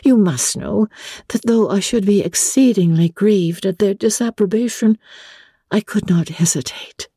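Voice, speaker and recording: A woman voicing a gentle, hopeful young sister from a classic novel, earnest and soft-hearted, in formal period speech. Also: mid-range voice, lively intonation, a little slow, husky, no room sound, studio quality, voice pushed up. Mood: fond protest. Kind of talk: dramatic audiobook reading.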